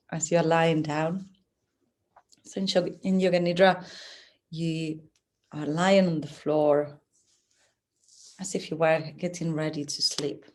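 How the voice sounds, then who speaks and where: Woman, yoga teacher, lowish voice, very slow delivery, gentle, soothing guided relaxation. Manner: gentle, unhurried, soothing guidance.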